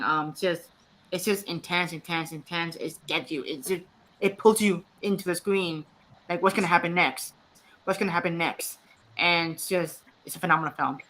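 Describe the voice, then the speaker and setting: Female panelist, 20s, low-ish bright voice, enthusiastic and filler-heavy. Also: a little hiss or hum. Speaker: panel guest. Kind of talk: casual panel chat.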